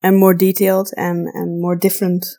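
A woman's voice: lowish voice, monotone, fairly quick, dark tone. A woman co-hosting a podcast in casual, unscripted chat, chiming in to add to the points being made. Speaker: podcast co-host.

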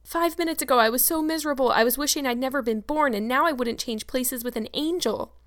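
A woman reading an audiobook voices an excitable, imaginative young girl with gushing, breathless enthusiasm. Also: a little high, fairly quick, pitched above the performer's own voice, natural voice. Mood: ecstatic.

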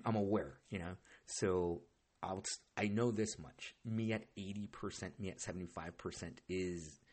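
Hoarse, deep, husky-voiced man host. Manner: rambling and heavily profane, with frequent restarts. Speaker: podcast host. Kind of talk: casual solo podcast chat.

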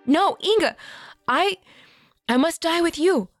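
A woman voicing a young fanged woman, distraught and pleading in a high, strained voice. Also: expressive, normal speed, dry recording, faint music behind.

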